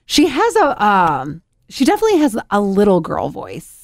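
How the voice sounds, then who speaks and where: A woman's voice: medium pitch, wildly swooping pitch, moderate pace. A young woman co-hosting a podcast over a video call, telling stories, laughing a lot and mimicking people. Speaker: podcast co-host. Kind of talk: casual chat. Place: home office.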